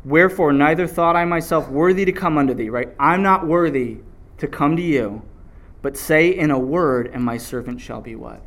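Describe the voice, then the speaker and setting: A man's voice: fairly high pitch, moderate pace. A man preaching a sermon, reading scripture aloud and drawing answers back from the congregation. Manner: animated call-and-response preaching.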